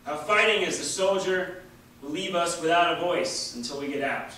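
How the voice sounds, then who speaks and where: A man giving a deliberate, emphatic reading of a written political essay at a public event. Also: on the high side, pushed and bright. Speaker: reader at a staged public reading. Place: large indoor hall at a public event. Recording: echoey hall from far off, faint noise.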